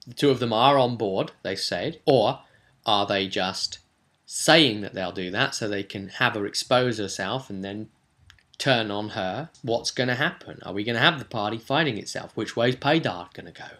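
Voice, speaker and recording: A man telling a casual recap of a tabletop role-playing session as its game master, with animated asides and rhetorical questions. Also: lowish voice, normal speed.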